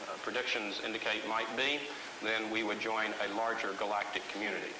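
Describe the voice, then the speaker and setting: A man's voice: fairly low pitch, flat delivery, a little fast. A man giving a formal, articulate explanation as a scientist. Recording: echoey, hissy vintage film soundtrack.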